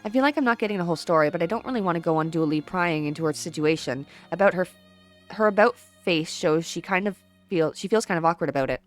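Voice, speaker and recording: Fast-reading woman narrating a visual novel. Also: fairly low pitch, lively intonation, soft background music. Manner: brisk, with occasional stumbles.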